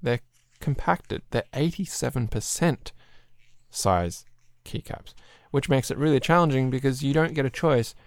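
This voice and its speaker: Man, podcast host, throaty, clears throat a lot, laid-back rambling, dry close sound. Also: medium pitch, unhurried, no echo. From Australia. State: slightly throaty. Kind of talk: casual chat about keyboards.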